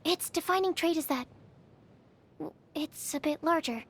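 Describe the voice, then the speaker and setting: A woman's voice: high voice, moderate pace. An actress playing a shy, earnest young female alchemist in a video game, speaking in a hesitant, polite, bookish manner. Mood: timidly curious. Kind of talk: video game character voice lines.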